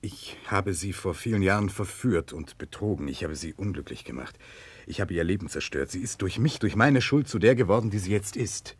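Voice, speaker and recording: An actor playing an earnest, remorseful Russian prince in a 1960s German radio drama, speaking gravely and deliberately. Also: male voice, low-pitched, animated, no echo. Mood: urgent, remorseful. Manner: grave, deliberate, insistent confession.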